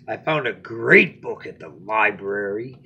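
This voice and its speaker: A man reads a children's picture book aloud as a bedtime story, expressively, with dramatic pauses and phrases that drop to a hush. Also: fairly low pitch, animated, gravelly, dull audio, slight background noise.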